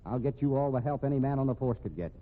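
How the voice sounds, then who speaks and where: A thin, hissy old radio recording of a man acting a harried American police captain in middle age. He is blunt and combative, grumbling sardonically at a medium male pitch in 1950s radio drama style. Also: expressive, quick pace, phone-quality sound, natural voice. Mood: earnest, insistent.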